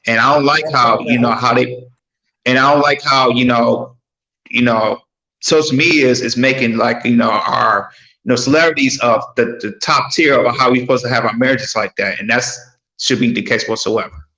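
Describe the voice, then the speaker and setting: A man on a podcast panel talking casually and haltingly, repeating words as he searches for phrasing. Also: medium pitch, steady tone, pushed and bright, roomy sound, dull audio.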